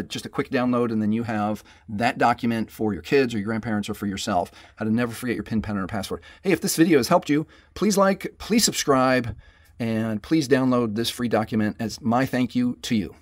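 A man's voice: medium pitch, brisk, no room sound, clean studio sound. Patient man walking through phone steps. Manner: friendly, patient, instructive. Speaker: tech tutorial host. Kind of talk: step-by-step phone tutorial.

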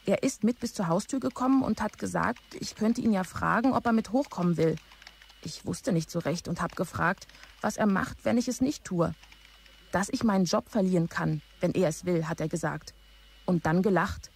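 A woman's voice: lowish voice, normal speed, creaky, slightly rough. A woman playing a dutiful, reserved office assistant in a radio play, speaking in short matter-of-fact sentences. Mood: uneasy. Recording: faint keyboard clicks and noise.

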